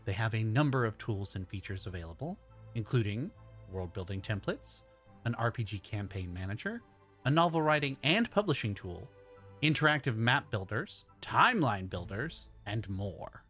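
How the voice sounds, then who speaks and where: Guy doing a podcast ad read, really lively up and down delivery, faint music behind. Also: lowish voice, moderate pace, breathy edge. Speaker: podcast writer and voice actor. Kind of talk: scripted sponsor read.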